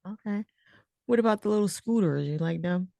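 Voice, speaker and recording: A woman's voice: mid-range voice, a little fast, gravelly, warm tone, no room sound, studio quality. A woman hosting a casual interview, chatting in an informal, slangy way with a playful, teasing streak. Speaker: host and interviewer.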